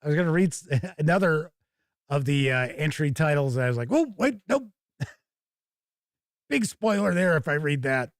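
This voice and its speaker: A man's voice: on the high side, lively intonation, moderate pace, long pauses, no room sound, clean studio sound. A man hosting a tabletop gaming show, chatting casually and thinking aloud as he gives live first-look commentary.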